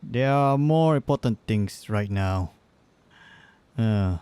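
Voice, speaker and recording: A man giving casual, halting gameplay commentary with wry asides, close to the mic. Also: on the low side, lively intonation, warm tone, clear, resonant tone. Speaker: game livestreamer.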